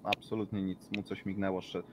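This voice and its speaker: Lowish male game player, noisy background. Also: level tone, brisk, a little mellow. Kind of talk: casual in-character tabletop role-play. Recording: noticeable background noise.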